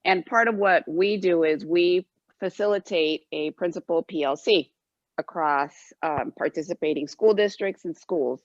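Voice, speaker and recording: Low-voiced woman on dull dry call audio. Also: unhurried, no echo. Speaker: university educational leadership staff. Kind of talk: answering in a video-call Q&A. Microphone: video call.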